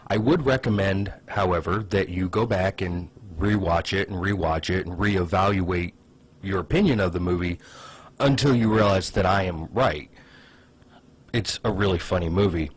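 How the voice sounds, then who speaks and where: A man hosting a casual comedic commentary video, pitching ideas in a wry, sarcastic, opinionated way. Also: slightly rough.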